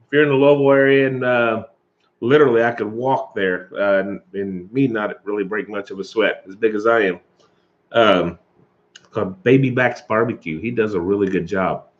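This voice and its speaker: A rambling, chatty man hosts a live stream, chuckling as he talks and stopping to cough from allergies. His voice sits fairly low, and the recording sounds dull and lo-fi. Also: moderate pace. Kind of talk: casual chat with live viewers.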